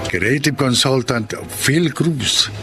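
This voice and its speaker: A man's voice: mid-range voice, animated, slow. A man reading scripted narration as a radio drama announcer, in a formal, solemn manner. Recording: loud music under the voice.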